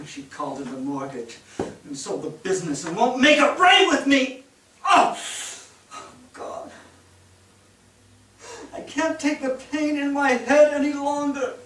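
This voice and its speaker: A man's voice: very high-pitched, big pitch swings, measured pace, sharp tone, slight background noise, exaggerated voice. A man playing a desperate, broken nineteenth-century husband in a staged reading, pleading and anguished. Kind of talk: staged reading of a period drama. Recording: very echoey theatre, distant microphone.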